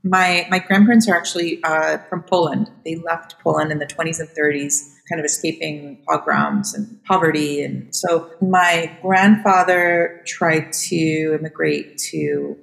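Middle-aged woman guest, deep and gravelly, loose rambling chat. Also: sing-song, unhurried, hardly any pauses, low-quality recording. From Costa Rica. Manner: chatty, rambling, frequent restarts and fillers. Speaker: guest, venture fund founder. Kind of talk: casual interview conversation.

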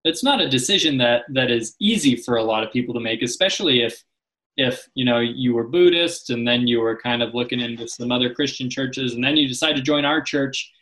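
A man's voice: medium pitch, a little fast, fairly bright tone, poor audio. A man interviewing a guest, asking questions casually and often stumbling and repeating words as he starts.

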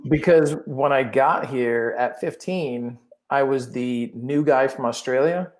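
A man's voice: medium pitch, slow pace, darkish tone. A man raised in England, now speaking, chatting as a podcast guest and often repeating words as he gets going. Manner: rambling, repeats words when starting sentences. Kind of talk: casual chat.

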